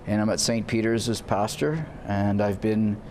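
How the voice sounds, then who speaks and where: Low-voiced man, priest in an interview. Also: moderate pace, darkish tone, slight background noise. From Canada. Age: 30s. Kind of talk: informal TV studio interview. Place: indoor TV studio. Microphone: lapel mic.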